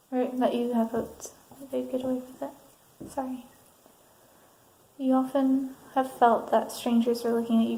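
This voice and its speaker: A woman doing a soft-spoken ASMR counselor roleplay, reading out assessment statements in an unhurried way with pauses. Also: high-pitched, vocal fry. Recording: roomy sound, faint background noise.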